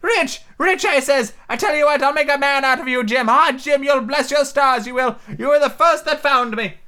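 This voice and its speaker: A man voicing a half-crazed old male castaway, eager and sly, in a high, cracking old-sailor voice. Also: normal speed, pushed and bright. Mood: excited. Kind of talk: amateur read-aloud character voice.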